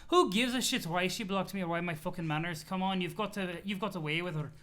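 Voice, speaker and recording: A pompous young troll played by a man with a silly Scottish accent, high-pitched and forceful. He rants with wounded, megalomaniacal indignation, in the manner of a comic webcomic reading. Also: fast. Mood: exasperated, insulting. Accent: put-on Scottish. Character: haughty, foul-mouthed, megalomaniacal young male troll sea dweller. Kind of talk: comic read-aloud of a webcomic.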